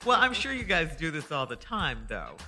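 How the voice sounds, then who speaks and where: A co-host reading news items and game questions on morning radio, laughing often. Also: female voice, deep voice, moderate pace, music in the background. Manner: bright, laughing, lively delivery.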